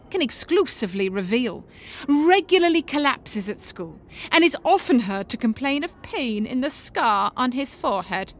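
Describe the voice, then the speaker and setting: A woman's voice: fairly high pitch, fairly bright tone. A woman playing a sensational gossip reporter, reading a tabloid article with emphatic, insinuating delivery.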